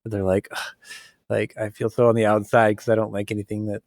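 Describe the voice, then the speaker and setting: A man with a fairly low voice chats on a podcast in a relaxed, meandering way. He fills his thoughts with ums and likes and laughs easily. The sound is close and dry. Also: brisk, dry recording. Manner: relaxed, rambling, laughs often, many fillers. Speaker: podcast co-host. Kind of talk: casual podcast conversation.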